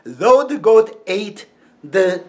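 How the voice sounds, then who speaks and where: A man speaks in a heavy Turkish accent, his English broken and his tone mocking. His voice sits a little high for a man, and he talks slowly and deliberately, with scornful laughs between his points. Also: very animated, a little mellow. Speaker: YouTube commentator. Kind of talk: casual monologue to camera.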